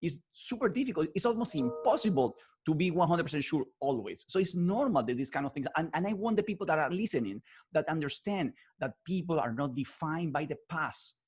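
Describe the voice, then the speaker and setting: Husky, eager interviewer with English. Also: male voice, fairly high pitch, lively intonation, a little fast, darkish tone. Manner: enthusiastic, non-native English phrasing, repeats words. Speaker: interviewer, host. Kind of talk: interview host chat.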